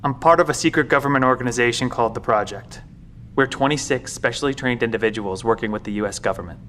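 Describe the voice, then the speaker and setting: A man acts out a weary professor guarding a secret, in an audio drama. His mid-pitched delivery is measured and explanatory, with a grave, secretive air. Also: a bit bright, slight background noise, close to an everyday voice.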